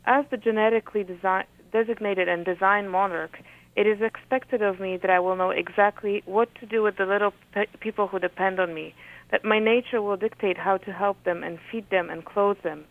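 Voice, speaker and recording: A woman whose first language is Polish, an author reading from her book and talking thoughtfully with many pauses. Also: mid-range voice, steady tone, dry recording. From Poland. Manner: thoughtful, hesitant with frequent ums. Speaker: author, guest. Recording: phone-like radio audio.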